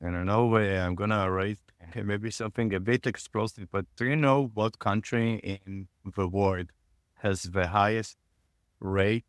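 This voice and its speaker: A man leads the conversation as host. His voice sits fairly low. He talks in halting runs with frequent restarts, and the sound is close and dry. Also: smooth, dry recording. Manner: halting, with many restarts and fillers. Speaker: podcast host. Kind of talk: conversational interview. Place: North America.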